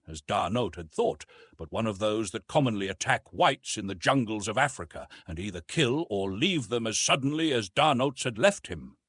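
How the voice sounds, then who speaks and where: A man's voice: mid-range voice, a bit bright, breathy edge, no echo, studio quality. A man reading an adventure novel aloud as a scripted audiobook narration.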